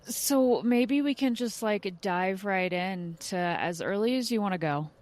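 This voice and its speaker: A woman's voice: mid-range voice, measured pace, a little mellow. A woman hosting an interview podcast, with scripted introductions and questions for a guest. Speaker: podcast host.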